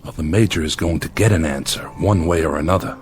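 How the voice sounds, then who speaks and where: A man's voice: extremely low pitch, quick pace. An actor playing a blunt, restless male villager in a radio drama. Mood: defiant questioning. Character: blunt, restless villager, longtime friend of the leader. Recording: radio drama with music underneath.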